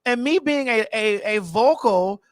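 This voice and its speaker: A woman in her forties co-hosting a casual chat, loud, blunt and profane. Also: a little high, lively intonation, a little slow, no echo, clean studio sound. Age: 40s. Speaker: podcast co-host.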